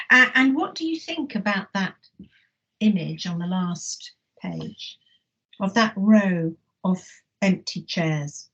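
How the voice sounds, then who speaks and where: A woman's voice: medium pitch, husky, dull audio. A Welsh-born middle-aged woman with an English accent, reading a picture book aloud and asking schoolchildren questions in an online lesson. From Wales. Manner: gentle storyteller, asks children questions. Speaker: teacher. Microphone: live online lesson mic.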